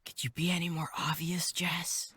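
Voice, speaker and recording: Laid-back young male counselor, voiced by a woman, audio drama. Low-pitched, dry humor, protective, close dry recording. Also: flat delivery, moderate pace, fairly bright tone, breathy edge, no room sound. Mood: guarded. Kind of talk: horror audio drama acting.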